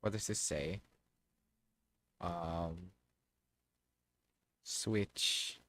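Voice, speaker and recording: A man giving casual, halting livestream commentary on a game, rambling and often trailing off mid-thought. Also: low voice, fairly even intonation, fairly bright tone, no room sound. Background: background music.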